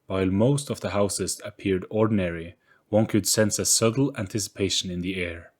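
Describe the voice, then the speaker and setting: A man reading a scripted spooky storybook in a deliberate, theatrical storytelling manner. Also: low voice. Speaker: narrator. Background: music.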